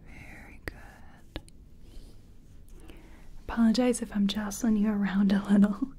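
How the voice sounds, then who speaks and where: A woman playing a gentle, chatty makeup competition contestant in a soft-spoken, whispery ASMR personal attention role-play. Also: mid-range voice, flat delivery, a little hiss or hum, close to an everyday voice. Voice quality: breathy, whispery. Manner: gentle, unhurried, chatty, reassuring. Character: friendly, self-taught makeup competition contestant.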